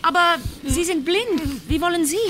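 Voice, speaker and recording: Scared but determined woman, high voice, urgent formal orders, radio thriller. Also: normal speed, noisy background. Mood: tense, anxious. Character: frightened but determined woman pressed into emergency surgery. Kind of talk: German audio crime drama acting.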